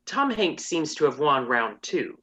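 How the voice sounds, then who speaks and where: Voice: mid-range voice, very animated, unhurried, a bit bright, lo-fi sound. A comedian narrating an abridged story with sassy, mock-serious commentary.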